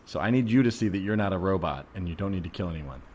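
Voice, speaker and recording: A man improvising a skeptical, uneasy ordinary neighbor in a comedy podcast scene, reasoning patiently in a hesitant, deadpan way. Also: sits low, quick pace, a little hiss or hum. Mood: reluctant.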